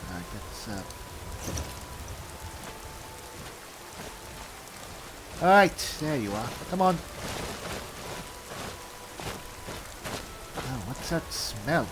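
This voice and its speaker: A man voicing a wry high elf spellsword and reluctant leader in a video game roleplay, with dry, halting, deliberate delivery. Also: sing-song, heavy background noise, close to an everyday voice. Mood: muttering.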